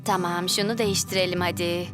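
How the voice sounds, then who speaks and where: A woman's voice: fairly low pitch, steady tone, fast talker, music in the background, natural voice. A woman playing a patient, reassuring optometrist in a Turkish children's dub, guiding a child encouragingly step by step.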